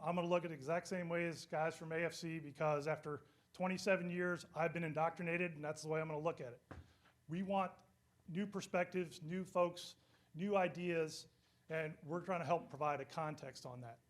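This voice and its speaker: A man's voice: on the high side, fairly even intonation, brisk. A middle-aged man, a nonprofit co-founder, speaking conversationally to a live audience. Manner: conversational, with fillers.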